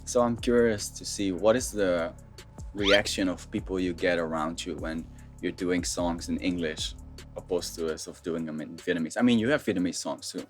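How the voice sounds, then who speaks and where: A woman interviewing a singer in a casual chat, loose and joking, with frequent soft affirmations. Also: a bass voice, steady tone, moderate pace, soft background music.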